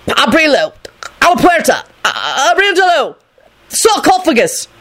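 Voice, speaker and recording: A woman's voice: low-pitched, very animated, fairly quick, slightly rough, full character voice. A woman voicing a desperate, reckless man in a storybook reading, frantic and urgent. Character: desperate, reckless man hissing and shouting orders.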